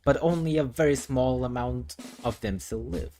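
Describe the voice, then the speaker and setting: A man's voice: mid-range voice, moderate pace, mellow, a little hiss or hum. A man from Thailand, a streamer explaining his worldbuilding lore in a casual, explanatory way, often restarting phrases.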